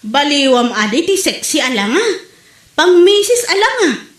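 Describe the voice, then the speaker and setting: Casting: actress as teasing, outspoken village friend, Ilocano accent, very high female voice, echoey room. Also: big pitch swings, moderate pace, fairly bright tone. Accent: Ilocano Filipino. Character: blunt, teasing village wife and gossiping friend. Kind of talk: Ilocano comedy drama dialogue.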